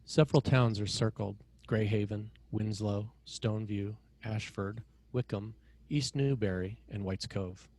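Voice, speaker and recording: A man narrating screenplay action and scene headings in a measured, dramatic way, in short phrases. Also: fairly low pitch, fairly even intonation, a little mellow, no room sound.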